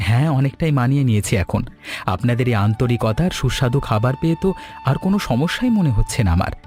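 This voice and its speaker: Appreciative, mannerly male customer for a cartoon, man acting, effusive and expressive, light music underneath. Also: mid-range voice, quick pace, close to an everyday voice. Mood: grateful, warm. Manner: courteous, effusive. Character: polite, appreciative male office-worker customer. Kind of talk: cartoon story dialogue.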